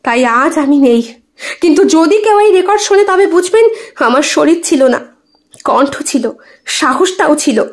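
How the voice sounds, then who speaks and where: Woman acting wronged young female witness, high voice, plaintive, earnest, brave, audio drama. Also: lively intonation, a little slow. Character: wronged, brave missing young woman giving recorded testimony.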